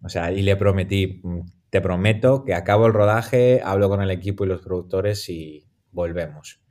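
A man's voice: sits low, moderate pace, darkish tone. A man with a Madrid Spanish accent, a documentary filmmaker being interviewed, talking casually and hesitantly with many fillers and restarts.

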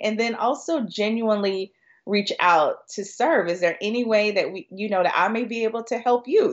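Female podcast guest, American, mid-range voice, conversational expert delivery, slightly muffled sound. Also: medium pitch. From Florida, United States. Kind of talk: conversational podcast interview answers.

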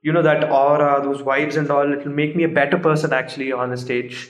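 A man's voice: fairly high pitch, little pitch movement, rapid-fire, reverberant, rough recording. A young man from South India chatting as a podcast guest, talking freely with laughs and slipping into Telugu. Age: young adult. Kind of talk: casual chat.

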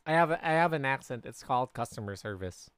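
A woman with a strikingly low, smooth voice chats playfully on a podcast, giggling as she trades slang. The sound is close and dry, with no room around it. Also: animated, fast, dry recording. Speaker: podcast co-host. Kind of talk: casual chat.

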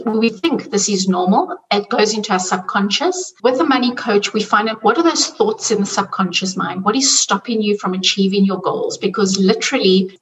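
Distant off-mic sound, woman, middle-aged, South African accent, medium pitch, conversational podcast guest. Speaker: podcast guest, money coach. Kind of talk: conversational interview answers.

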